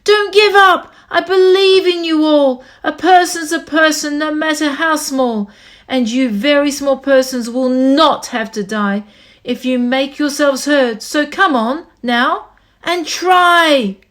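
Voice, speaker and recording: Lady doing a loyal kindly elephant from a picture book, high voice, calling out all earnest and urgent. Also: slow pace, a bit bright. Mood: rallying.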